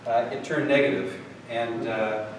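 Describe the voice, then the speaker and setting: A man talks an audience through a live software demo, full of fillers and restarts. He is heard from a distance in a very echoey hall. Steady background noise runs under his voice. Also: mid-range voice, unhurried. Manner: rambling, lots of fillers and restarts. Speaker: product demo presenter. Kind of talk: live tech demo, casual. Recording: echoey hall from far off, noisy.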